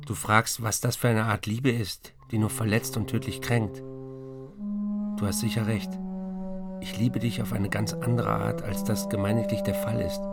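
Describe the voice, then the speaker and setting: An actor playing a troubled writer who pleads with his estranged wife, reading a confessional, measured letter in a radio play. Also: fairly low pitch, fairly even intonation, creaky, loud music behind the voice. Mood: earnest, remorseful.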